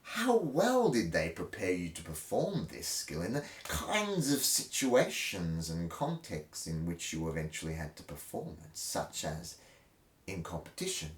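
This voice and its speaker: A man reads aloud like a stage performer, slowly and with great melodrama. His pitch swings widely between swelling emphasis and hushed phrases. He sounds set back from the microphone in a roomy space. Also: sing-song. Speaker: host reading a textbook aloud. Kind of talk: theatrical dramatic reading. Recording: roomy echo, distant microphone.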